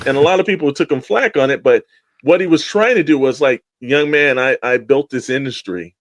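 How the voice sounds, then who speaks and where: A man with a medium-pitched voice talks like a podcast co-host giving his opinions. His delivery is casual and rambling, with emphatic points along the way. The sound is dry and close to the microphone. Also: fairly quick, dry recording.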